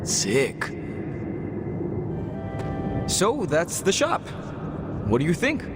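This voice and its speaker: An actor playing a laid-back, encouraging young hero mechanic in a video game, casual and sincere. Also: male voice, fairly high pitch, wildly swooping pitch, very slow, full character voice. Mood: upbeat, casual. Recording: loud music under the voice.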